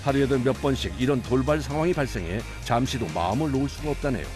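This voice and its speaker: A man's voice: mid-range voice, animated, quick pace. A man reading scripted documentary narration. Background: background music.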